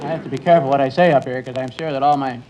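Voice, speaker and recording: An American man speaks as a naval commander being interviewed on a quiz show. He is polite and earnest, choosing his words in a measured way at a middling pitch. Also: fairly even intonation, heavy background noise.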